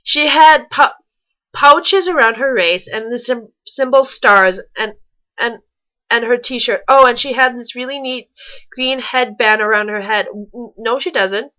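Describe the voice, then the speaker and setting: Bright, high-voiced woman reading aloud. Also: measured pace, rough recording. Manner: stumbles over words, rereads lines. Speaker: reader on a fan fiction reaction show. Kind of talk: reading a story aloud with mocking asides.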